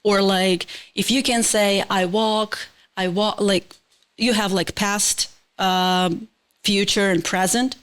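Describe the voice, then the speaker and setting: A woman's voice: mid-range voice, fairly even intonation, takes it slowly, a bit bright, clean tone. A woman with a Ukrainian accent whose first language is Ukrainian, a guest on a casual podcast, often searching for words and restarting her sentences.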